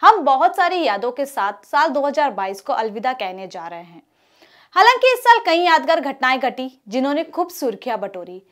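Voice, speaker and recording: A woman's voice: sits high, sing-song, normal speed, a bit bright. A woman reading scripted news narration as a presenter, in a formal, emphatic delivery.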